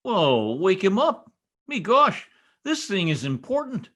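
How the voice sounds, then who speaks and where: A man's voice: sits high, wildly swooping pitch, slow pace, a bit bright, heavily acted. Man playing a blustering, swooping folksy windbag. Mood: self-important. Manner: folksy, bumbling, boastful. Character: blustering, long-winded, self-important husband, a tall-tale teller. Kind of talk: old-time radio comedy acting. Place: at home on a video call.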